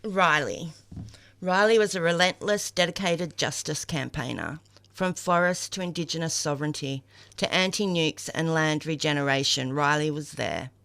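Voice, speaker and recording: Deep-voiced Australian woman reading memorial tributes. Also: moderate pace, fairly bright tone. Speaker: podcast reader of memorial tributes.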